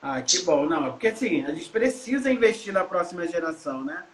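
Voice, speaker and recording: A woman hosting a casual interview over a video call, friendly and quick with exclamations. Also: lowish voice, sing-song. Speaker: host. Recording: hissy, echoey room.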